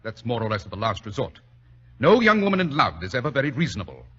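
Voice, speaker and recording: A male actor with a British accent playing a shrewd, self-assured gentleman detective in an old radio drama, speaking in a clipped, slightly husky, authoritative way. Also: mid-range voice, huge pitch swings, fast, heavily acted. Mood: musing, assured. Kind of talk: 1940s radio drama acting. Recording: dull lo-fi old radio recording.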